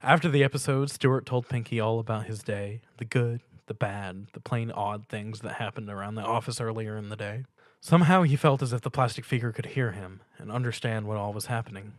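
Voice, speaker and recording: A man's voice: on the low side, fairly even intonation, fairly quick, dry recording. A man narrating a scripted fan fiction story as a dramatic reading.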